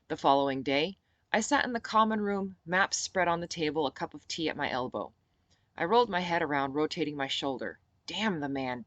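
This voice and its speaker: A woman with a low voice reading her own novel aloud as first-person audiobook narration. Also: fairly bright tone, dry recording.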